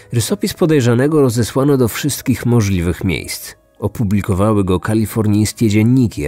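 Low-voiced man narrating true crime. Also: mellow. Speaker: podcast host and narrator. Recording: music behind, some reverb. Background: music.

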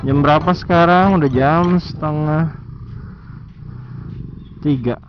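A man's voice: on the high side, slow, lo-fi sound. Chatty young man riding through traffic. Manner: chatty, joking, slangy, teasing other riders. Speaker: motovlogger. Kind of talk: casual riding commentary. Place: riding a motorcycle in city traffic outdoors.

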